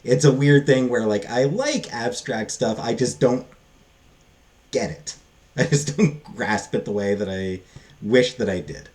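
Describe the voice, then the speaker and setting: A man giving a casual, unscripted book review in a halting, rambling, self-deprecating way. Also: mid-range voice, animated, a little mellow.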